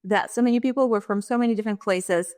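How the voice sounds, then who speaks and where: A woman's voice: medium pitch, fast talker, darkish tone, dry recording. Woman, forties, from Russia, interview guest, thoughtful, dry room. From Russia and Kazakhstan. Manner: thoughtful, laughs lightly between points. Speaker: guest, leadership strategist. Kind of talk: interview conversation.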